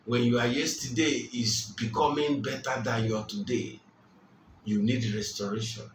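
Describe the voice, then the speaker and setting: Slow, emphatic preacher man in a roomy space. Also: mid-range voice, fairly even intonation, slight background noise. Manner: slow and emphatic, repeating key phrases. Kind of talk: sermon. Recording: roomy, distant mic, faint ambience.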